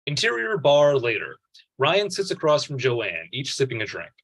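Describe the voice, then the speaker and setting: A man's voice: medium pitch, huge pitch swings, normal speed, fairly bright tone, husky. A man reading out a script's stage directions as scripted narration at a table read.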